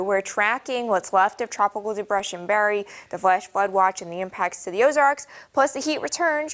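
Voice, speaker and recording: A female meteorologist giving a morning weather forecast in a brisk, conversational way with small fillers. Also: mid-range voice, a bit bright.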